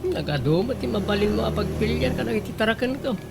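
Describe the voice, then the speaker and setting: A man's voice: high voice, animated, fast, gravelly, music in the background. A man playing an exasperated but doting husband who fusses and protests over his precious rooster, in a comedic radio drama. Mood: pleading, mournful. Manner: fussy, questioning, protesting.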